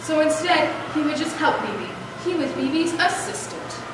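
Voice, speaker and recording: A woman's voice: sits high, expressive, unhurried. A young adolescent girl delivering scripted narration in a school readers theater performance. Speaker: student narrator in a school play. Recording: reverberant hall from far off, noisy.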